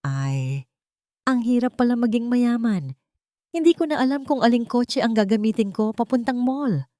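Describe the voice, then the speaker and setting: A woman's voice: a little high, lively intonation, moderate pace, dark tone, no room sound, studio quality, voice pushed up, natural voice. A woman voicing a vain, newly rich middle-aged woman who boasts and mocks her guests in a storybook reading. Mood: showing off. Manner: boastful, mocking.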